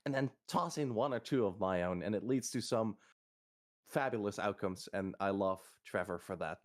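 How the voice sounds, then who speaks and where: A man's voice: fairly low pitch, moderate pace. A man, a voice actor, answering a fan question in an enthusiastic, chatty way. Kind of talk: casual Q&A answer.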